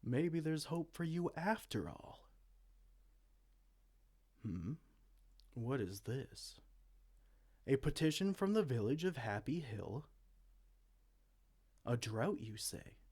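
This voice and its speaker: A man's voice: a little high, sing-song, takes it slowly, pauses often, a little mellow. A man playing a dorky, petulant evil king in a comedic fantasy roleplay, pompous, theatrical and exasperated. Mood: pompous, pondering. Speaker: amateur roleplay audio performer.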